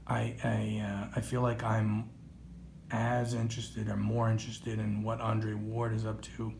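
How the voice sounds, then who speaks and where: Male boxing interviewer, creaky mid-range voice, wordy and halting, recorded in an echoey room. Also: fairly low pitch. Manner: wordy, halting, rhetorical questions. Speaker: boxing talk show host. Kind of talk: conversational sports interview. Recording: roomy echo, faint background noise.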